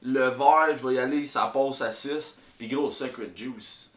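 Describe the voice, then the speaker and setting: A man with a Québécois accent gives blunt, crude drink ratings. His voice is bright and forceful. It sits in a roomy, echoing space. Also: mid-range voice, measured pace. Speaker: podcast co-host. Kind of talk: casual chat. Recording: roomy echo.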